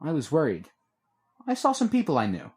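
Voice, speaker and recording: An eager, practical teenage girl, put on by a male reader, her voice lifted higher and a touch louder than his own. She asks quick, direct questions. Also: huge pitch swings. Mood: curious.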